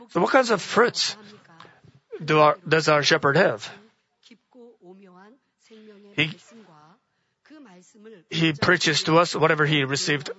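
Hoarse man giving a halting sermon. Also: a little high, pushed and bright, lo-fi sound. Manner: halting, with frequent restarts. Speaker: church speaker. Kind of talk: sermon-style teaching.

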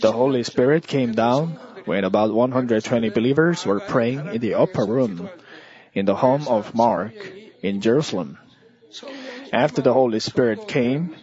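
A man delivering a church sermon in short, measured phrases, as an interpreter would. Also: medium pitch, darkish tone. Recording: dull lo-fi audio.

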